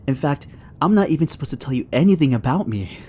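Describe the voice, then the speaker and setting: A man's voice: on the high side, lively intonation, fast talker, mellow, slight background noise, lightly acted. A young man acting a shy, flustered barista who is secretly an agent in an ASMR roleplay drama, hesitant, stammering and apologetic. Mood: flustered, alarmed. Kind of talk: ASMR roleplay, acted dialogue spoken to the listener.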